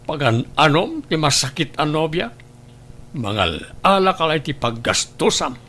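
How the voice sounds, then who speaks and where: A man acting a blunt, cynical older uncle who nags and scolds in an Ilocano audio drama, his voice gravelly. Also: a little high, very animated, moderate pace, pushed and bright, exaggerated voice. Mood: scolding, disapproving.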